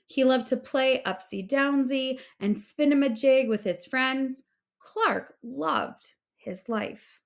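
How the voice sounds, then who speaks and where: A woman reading a children's story aloud as an expressive narrator. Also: high-pitched, slow, creaky.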